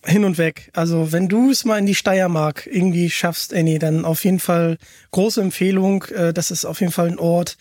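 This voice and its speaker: A man hosting a podcast, chatting casually and enthusiastically, often halting and repeating words as he asks questions. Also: high-pitched, steady tone, darkish tone, no room sound, clean studio sound. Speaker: podcast host. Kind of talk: casual chat, interviewing.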